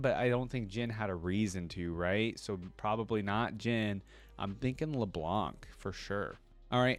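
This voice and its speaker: A young man hosting a reaction video, reading a fan wiki out loud and thinking aloud about it with plenty of fillers and restarts. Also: mid-range voice, no room sound. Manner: reads out wiki entries, then breaks off with excited exclamations.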